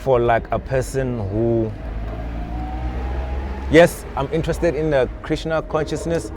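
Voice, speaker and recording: A man's voice: mid-range voice, measured pace, noisy background. A man in casual conversation, earnest and searching for words, often repeating himself as he explains. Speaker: interviewee, spiritual seeker. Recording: music playing loud behind.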